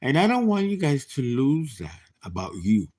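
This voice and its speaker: A man in his late fifties giving a casual tarot reading, chatty and animated, with laughing asides and dropped whispers. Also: medium pitch, moderate pace, husky. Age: 50s. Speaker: tarot reader.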